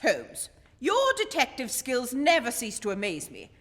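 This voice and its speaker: A woman acts an earnest detective's companion in a radio play. The voice is high, articulate and lively in its swoops, with hall-like reverb around it. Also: unhurried, heavily acted. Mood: eager, sceptical. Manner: expressive, articulate storytelling. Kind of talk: radio play acting and scripted narration.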